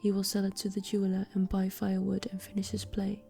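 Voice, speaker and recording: A woman voicing a kind, sorrowful male statue prince in a soft whisper. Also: medium pitch, completely flat delivery, fast talker, gravelly, faint music behind, natural voice.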